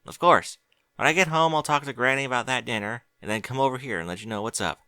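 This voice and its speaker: A man's voice: mid-range voice, fast talker, sharp tone, clear, resonant tone, no room sound. A man voicing an earnest young farm pony mare in a fan fiction audiobook, talking in a plain, folksy way. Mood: friendly.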